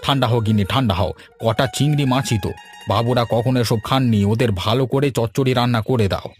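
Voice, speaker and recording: A man's voice: mid-range voice, fairly even intonation, fast talker, faint music behind, natural voice. A man voicing a village husband and father in a cartoon, easygoing but able to be stern. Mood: placating. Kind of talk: cartoon voice acting.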